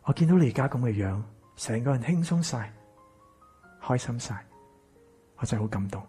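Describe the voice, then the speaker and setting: Guy playing earnest counsellor in a radio drama, hong kong cantonese, heartfelt n measured, bit of music behind. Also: mid-range voice, lively intonation, mellow, lightly acted. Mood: moved, hopeful. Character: earnest, remorseful male psychological counsellor. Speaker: actor in a stage radio drama. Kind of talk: dramatic radio play acting.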